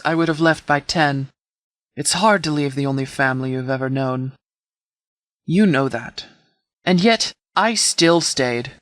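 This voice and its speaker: A man's voice: on the high side, fairly bright tone, dry recording, studio quality. Man playing a stern, battle-weary military leader. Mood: bitter, indignant. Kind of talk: video game dialogue acting.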